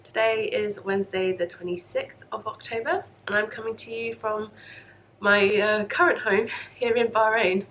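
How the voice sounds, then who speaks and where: A woman's voice: medium pitch, fairly even intonation, normal speed, a bit bright, slight background noise, low-quality recording. A young woman hosting a casual, chatty podcast, congested from a cold, rambling in an apologetic, self-deprecating way. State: congested and coughy from a lingering cold. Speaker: podcast host. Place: home craft room.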